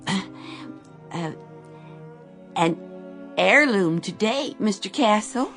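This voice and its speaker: A woman's voice: medium pitch, animated, slow, over music. A woman acting in a radio drama as a timid, needy lady, with a hesitant, faltering, apologetic manner. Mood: timidly hopeful. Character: timid, needy, grateful woman selling junk.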